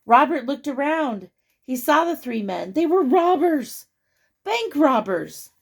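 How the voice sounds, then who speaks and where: A woman hosts a children's storytime with a fairly high voice that crackles heavily with fry. She reads expressively, then chats to the young listeners, asking them questions and pointing out the pictures. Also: sing-song, takes it slowly. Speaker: storytime host reading a picture book to children. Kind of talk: read-aloud storytelling with chatty asides to young listeners.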